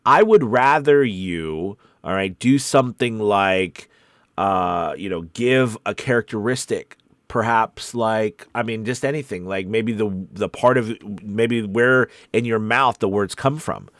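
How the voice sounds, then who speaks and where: A man with a fairly low voice coaches aspiring voice actors. He talks in an informal, encouraging and meandering way, as if thinking aloud. The sound is dry, with very little room. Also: a little slow, dry recording. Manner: encouraging, conversational, rambling. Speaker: voice-over coach and host. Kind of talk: informal live coaching session.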